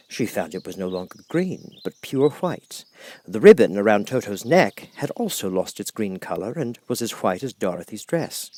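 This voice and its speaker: A man reading a children's audiobook as narrator, with expressive, dramatic storytelling. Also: lowish voice.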